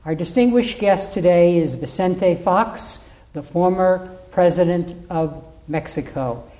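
A man's voice: high-pitched, unhurried, warm tone. A man hosting an interview program, giving a formal introduction and then asking questions. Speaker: interview host.

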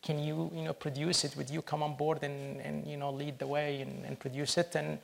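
A man from Syria, a film producer answering interview questions in a halting, earnest way with many restarts. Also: fairly high pitch, little pitch movement, gravelly.

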